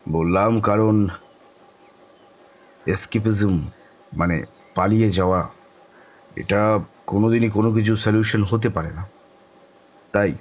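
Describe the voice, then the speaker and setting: An actor playing a cheerful middle-aged club raconteur who tells horror stories in a teasing, deliberate, cryptic way. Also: male voice, lowish voice, low-quality recording. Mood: cryptic, unhurried. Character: cheerful older club raconteur turning mysterious storyteller. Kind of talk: dramatised horror audio story.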